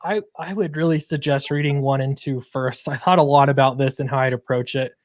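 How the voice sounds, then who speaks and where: A man's voice: mid-range voice, steady tone, fairly quick, no room sound. Casual male creator, dry close sound. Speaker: guest comic book creator. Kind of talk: casual interview chat about own project.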